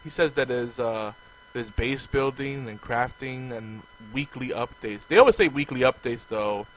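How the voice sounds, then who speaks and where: A man co-hosting a casual podcast, chatty and relaxed, his voice going hoarse as he gets sick. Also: medium pitch, normal speed, slight background noise, poor audio. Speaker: podcast co-host. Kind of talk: casual chat.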